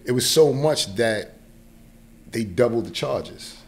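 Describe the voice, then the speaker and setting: Man in his forties, New York accent, medium pitch, casual storytelling, podcast guest, faint background noise. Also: expressive, slow. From New York, United States. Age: 40s. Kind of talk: casual personal storytelling in an interview. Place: small indoor podcast studio. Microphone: close podcast mic on boom arm.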